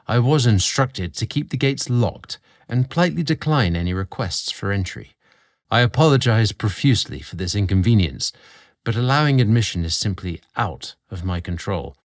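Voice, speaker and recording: A man plays a young servant who tends a storybook garden. He is earnest, humble and deferential, with formal phrasing and a lively, moving pitch.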